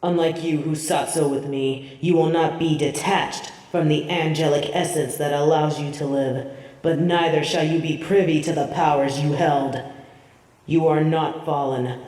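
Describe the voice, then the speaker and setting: A woman's voice: low-pitched, steady tone, unhurried, dark tone. A woman playing a proud, imperious angel in a fantasy audio drama, with formal, solemn and haughty diction. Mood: solemn, commanding. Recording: roomy echo, distant from the mic.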